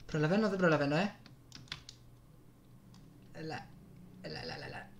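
A man with a Greek accent doing casual live gameplay commentary, excitable, exclaiming and muttering to himself into a desktop gaming microphone. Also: fairly high pitch. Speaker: gaming YouTuber.

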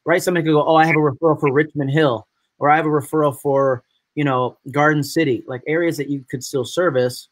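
A man from upstate New York hosting a casual live video chat with guests, talking in a chatty, animated way. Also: a little high, fast, dry recording. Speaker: host.

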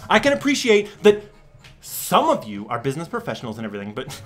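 A young man giving an over-the-top dramatic reading as a smug, defensive forum poster, theatrical and swinging between breathy whispers and shouting. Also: on the high side, wildly swooping pitch, quick pace, soft background music, voice pushed down. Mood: condescending, exasperated. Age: young adult. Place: small room at home.

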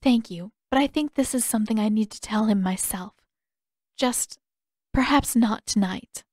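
A woman voicing a polite, dutiful young noblewoman with formal, measured phrasing in an audiobook story. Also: fairly high pitch, darkish tone, dry recording, clean studio sound, natural voice. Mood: earnest, gentle.

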